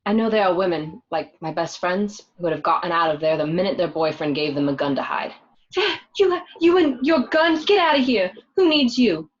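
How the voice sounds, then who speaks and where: A woman's voice: on the low side, big pitch swings, moderate pace. A woman playing a gangster's young girlfriend in a radio drama, candid and emotional. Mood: wry confession. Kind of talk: amateur radio drama acting. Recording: dull lo-fi home recording.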